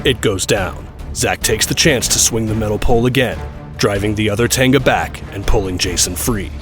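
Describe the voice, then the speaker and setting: Low-voiced man narrating action over music. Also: moderate pace. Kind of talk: scripted audio drama action narration.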